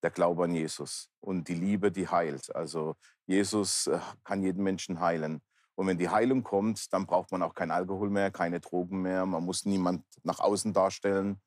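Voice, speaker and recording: Deep-voiced man in his fifties. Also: fairly even intonation, moderate pace, no room sound, clean studio sound. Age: 50s. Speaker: talk show guest, pastor. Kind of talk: personal testimony in an interview. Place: large indoor bar hall, seated interview.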